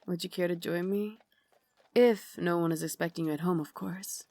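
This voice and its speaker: A woman playing an elegant, caring and gently commanding Victorian vampire lady in an ASMR roleplay, speaking softly, formally and with doting refinement. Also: on the low side, steady tone, normal speed, close to an everyday voice. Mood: gracious, inviting. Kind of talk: ASMR roleplay, slow intimate scripted acting.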